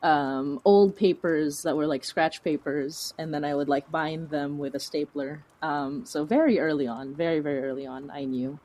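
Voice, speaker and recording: Very low-pitched woman chatting casually. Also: normal speed, few pauses, darkish tone. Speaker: podcast guest, creator. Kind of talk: casual interview chat.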